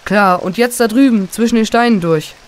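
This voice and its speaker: A performer playing a confident, boastful, excitable boy in a children's radio play. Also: mid-range voice, moderate pace, close to an everyday voice. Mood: cocky. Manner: cocky, dismissive, eager.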